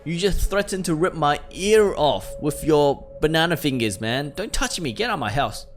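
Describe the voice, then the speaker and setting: A man's voice: a little high, expressive, normal speed. A man hosting a let's play, reading game text aloud and reacting in casual slang to his viewers. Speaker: gaming video host. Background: music.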